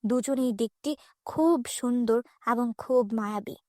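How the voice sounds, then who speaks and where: A woman reads scripted fairy-tale narration for children. Her voice is high-pitched, and the recording is dry and free of room sound. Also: measured pace, dark tone, dry recording.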